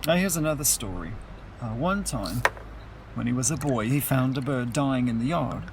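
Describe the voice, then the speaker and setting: A man playing a gentle, easygoing, wry older relative at a wake, in radio play dialogue. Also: mid-range voice, expressive, normal speed, slight background noise, lightly acted. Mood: reflective, gentle.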